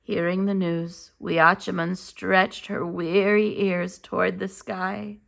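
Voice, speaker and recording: Slow, creaky-voiced woman reading aloud. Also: lowish voice, flat delivery, a bit bright. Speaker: teacher reading a picture book aloud. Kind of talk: slow, expressive children's read-aloud narration.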